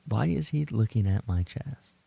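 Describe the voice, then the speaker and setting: Male streamer, lowish and somewhat dark voice, loose casual banter with his audience. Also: expressive, moderate pace, vocal fry, breathy edge, no room sound. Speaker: live streamer and voice actor. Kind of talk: casual livestream chat with viewers.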